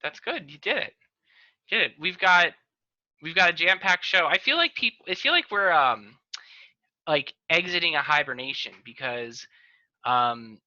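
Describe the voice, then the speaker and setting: Joking podcast host on a video call. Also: male voice, fairly high pitch, sharp tone, no echo, lo-fi sound. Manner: joking, restarts sentences often. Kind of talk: casual video-call chat.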